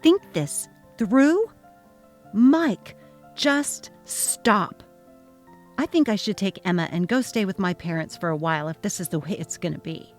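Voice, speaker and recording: A woman's voice: medium pitch, expressive, unhurried, faint music behind. A woman voicing a fed-up, defiant young mother, reading a text-message story dramatically and emphatically.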